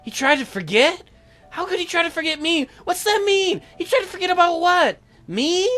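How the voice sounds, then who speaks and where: A sweet teenage girl from a dating game, put on by a man. The voice is high and bright, with an apologetic, earnest way of speaking over soft background music. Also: expressive, moderate pace, heavily acted. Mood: puzzled. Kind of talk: reading visual novel dialogue aloud.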